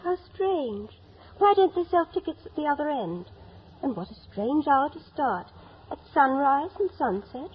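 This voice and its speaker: Prim, high-voiced young girl. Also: big pitch swings, moderate pace, slight background noise. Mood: puzzled. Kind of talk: 1950s radio drama acting. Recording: muffled 1950s radio recording.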